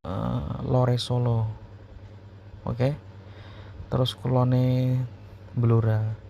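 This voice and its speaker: A man's voice: mid-range voice, level tone, drawn-out words, creaky, warm tone. A man with a Central Javanese accent chats casually to his viewers, explaining things and often repeating himself to check they follow. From Purwodadi Grobogan, Central Java, Indonesia. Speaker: YouTuber answering viewers' questions. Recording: muffled, lo-fi, faint background noise.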